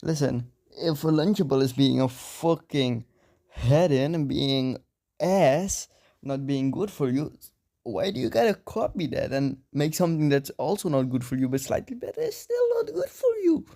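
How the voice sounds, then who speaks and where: A woman reacting alongside a co-host with casual banter, crude slang and sudden loud outbursts. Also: low-pitched, very animated, normal speed, creaky.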